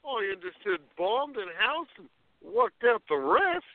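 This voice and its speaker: A man's voice: extremely high pitch, sing-song, a little slow, no room sound, narrow, tinny audio, exaggerated voice. An actor playing an awkward, bemused younger man in a radio play, hesitant and incredulous.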